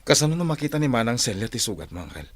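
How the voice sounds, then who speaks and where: A loyal younger Ilocano man with a mid-low voice. He speaks with earnest, respectful concern in a radio drama. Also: big pitch swings, racing, no echo. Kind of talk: Filipino radio drama acting.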